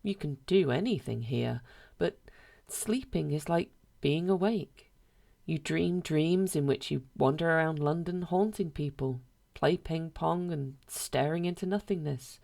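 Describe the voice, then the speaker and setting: A woman performs a male ghost from a storybook. He is low-voiced and matter-of-fact, a weary guide to the afterlife. He speaks cautiously and with a deadpan flatness. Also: unhurried, vocal fry.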